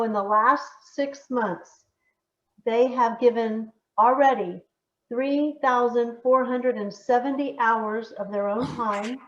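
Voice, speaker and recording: A woman, a nonprofit director, giving an informal thank-you speech over an echoey, muffled video call. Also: on the high side.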